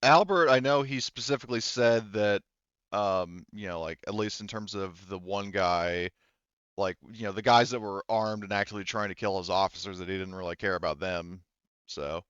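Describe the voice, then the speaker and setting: Smooth, low-voiced man as game master. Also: moderate pace, fairly bright tone, no room sound, highs cut off. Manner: rambling, explanatory, conversational. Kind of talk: running a tabletop roleplaying game, improvised.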